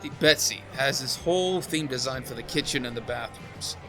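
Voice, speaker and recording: An actor playing an easygoing, easily bewildered young father-to-be with halting delivery in an audio comedy sketch. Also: medium pitch, music in the background, lightly acted. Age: young adult.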